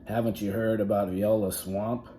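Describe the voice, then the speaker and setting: A man voicing a knowing big schoolkid in a storybook, warning younger children in an ominous, drawn-out way. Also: lowish voice, level tone, dark tone, soft background music, natural voice.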